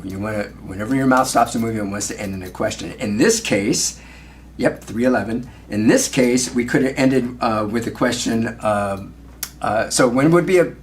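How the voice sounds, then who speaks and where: A man's voice: medium pitch, a little hiss or hum. A man working as a sales trainer, coaching in an instructive way with praise and frequent check questions. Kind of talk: coaching commentary on a phone call.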